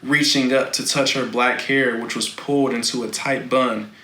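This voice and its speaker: A man narrating a children's novel in a deliberate, dramatic, expressive reading style. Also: medium pitch. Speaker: storybook reader. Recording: echoey room, faint background noise.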